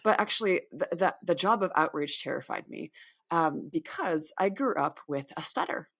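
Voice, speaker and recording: A woman's voice: fairly low pitch, expressive, normal speed, a bit bright, breathy edge. A woman in her thirties from upstate New York, speaking candidly and reflectively as a podcast guest, often restarting her words. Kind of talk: podcast interview.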